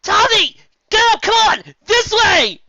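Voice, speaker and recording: A reader voicing a bold young female unicorn pony who shouts warnings. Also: extremely high pitch, very animated, slow, dry recording, highs cut off, voice pushed up, heavily acted. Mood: shouting.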